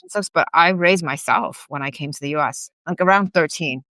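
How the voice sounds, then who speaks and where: A woman's voice: low-pitched, fairly quick, pushed and bright, no room sound. A woman in her forties, from Taiwan, talking candidly and upbeat in an interview, with lots of "like" and small laughs. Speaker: guest, gym CEO. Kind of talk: casual interview chat.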